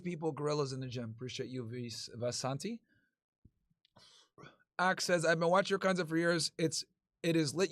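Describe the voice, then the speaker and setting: Casual male streamer, slightly high voice, relaxed and crude, dry close mic. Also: dry recording. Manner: laid-back, crude slang, tired, joking. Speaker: livestream host. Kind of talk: casual livestream chat reading super chats.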